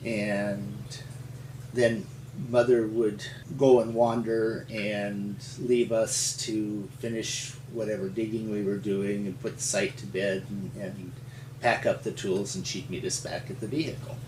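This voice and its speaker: An older man from rural Montana with an American accent, telling his story in an interview in a halting, folksy, explanatory way. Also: fairly low pitch, level tone, a little mellow. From rural Montana, United States. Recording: roomy, distant mic with faint hum.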